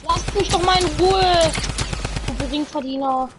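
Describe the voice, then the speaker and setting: A very high-voiced woman trades cheeky jokes with friends during a game. Faint noise and clicks run underneath. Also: normal speed. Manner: teasing, joking, cheeky. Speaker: co-player friend. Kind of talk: casual gaming banter.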